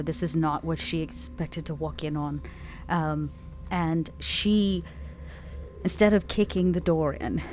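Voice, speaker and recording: A woman's voice: sits low, a little mellow, slight background noise. A woman casually improvising her character's actions as a tabletop player, hesitant and thinking aloud. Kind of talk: improvised in-game narration, casual.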